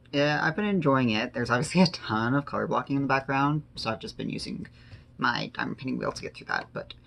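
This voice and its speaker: A man's voice: mid-range voice, fairly bright tone. A man chatting casually and informally as he hosts a craft vlog, rambling a little and correcting himself as he goes. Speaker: host of a diamond painting vlog. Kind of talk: casual chat while working on a craft.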